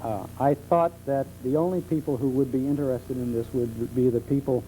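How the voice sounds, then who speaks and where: A middle-aged man being interviewed as a talk show guest, explaining things conversationally with hesitant pauses. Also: mid-range voice, fairly even intonation, warm tone. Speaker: talk show guest, old-time radio enthusiast. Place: television studio. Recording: muffled 1970s television tape with hum.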